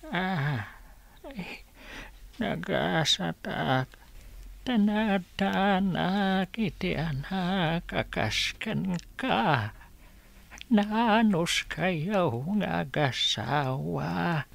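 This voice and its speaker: A woman acting as a weary, tender elderly father in a radio drama, speaking haltingly in a creaky voice. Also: fairly low pitch, lively intonation, full character voice. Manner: slow, halting.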